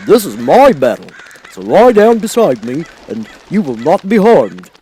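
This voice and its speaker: A man performs a plucky storybook scarecrow, friendly and protective. The voice is pitched high and projects strongly. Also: very animated, a little slow, dark tone, husky, full character voice, low-quality recording. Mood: brave, protective. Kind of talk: audiobook character voice.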